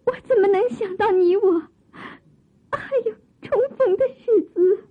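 A devoted, sorrowful young maiden in a radio drama. Her voice is extremely high and delicate, and she draws her words out slowly and plaintively. The recording sounds a little dull. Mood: tearful, grieving. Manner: plaintive, drawn-out, breathless exclamations. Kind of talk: theatrical Chinese radio drama acting.

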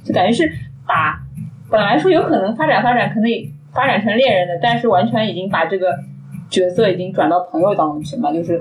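A woman's voice: medium pitch, lively intonation, quick pace, slight background noise, rough recording. A woman co-hosting a casual film chat, conversational and inclined to retell scenes with quoted dialogue. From Shanghai, China. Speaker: podcast co-host. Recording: music playing behind the talk. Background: background music.

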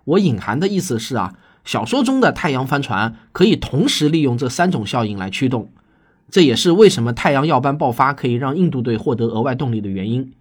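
A man's voice: medium pitch, sing-song, a little fast, slightly rough. A man hosting a science explainer podcast in a conversational but prepared way, teaching emphatically and putting rhetorical questions to his listeners. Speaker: host and science fiction author answering listener questions.

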